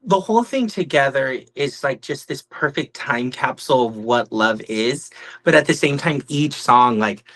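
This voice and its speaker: A man's voice: mid-range voice, expressive, moderate pace, fairly bright tone, rough recording. A man hosting a podcast, chatting enthusiastically with his guests. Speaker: podcast host. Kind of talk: enthusiastic interview chat.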